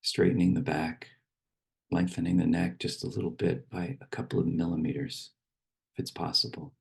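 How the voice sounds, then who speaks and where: A man leading a guided meditation with poetic readings, speaking slowly and unhurriedly with pauses between short phrases. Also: sits low, flat delivery, creaky, slightly rough. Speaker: meditation teacher.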